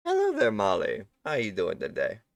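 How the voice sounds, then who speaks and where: A man hosting a live game stream while sick, a little raspy, talking casually and courteously with his viewers and chuckling often. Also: fairly low pitch, wildly swooping pitch, normal speed, no room sound, studio quality. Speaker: livestream host. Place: at home.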